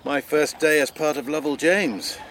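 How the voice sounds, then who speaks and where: A man's voice: fairly high pitch, normal speed, fairly bright tone, slight background noise, close to an everyday voice. An actor playing a hesitant, well-meaning village vet in a radio drama, stumbling over his words with ums. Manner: hesitant, awkward, full of ums. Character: awkward well-meaning village vet, middle-aged man.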